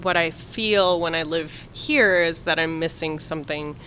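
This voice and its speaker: A woman's voice: sits low, a little slow, sharp tone, slight background noise, low-quality recording. A woman in her thirties hosting a podcast, asking curious, thoughtful questions. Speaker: podcast host. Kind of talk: casual interview.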